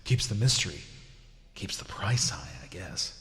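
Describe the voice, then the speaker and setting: A man's voice: low-pitched, expressive, normal speed, sharp tone, soft background music. A man playing a blunt, skeptical starship commander in an audio drama, with a wry, informal way of talking. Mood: explaining. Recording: echoey room with long reverb.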